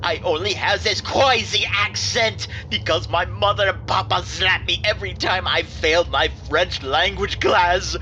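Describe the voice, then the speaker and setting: A man's voice: high voice, measured pace, hardly any pauses, sharp tone, slight background noise, poor audio. A man doing a ranting fake-French security chief. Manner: ranting, heavy put-on French accent. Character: hot-tempered male security chief, fake French accent. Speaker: head of security.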